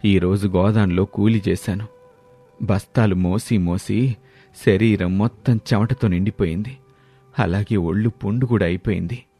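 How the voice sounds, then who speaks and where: A man with a low, mellow voice plays a hardworking husband in a storybook drama. He talks earnestly and gently, and soft music runs underneath. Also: steady tone, moderate pace, husky. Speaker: voice actor playing the husband.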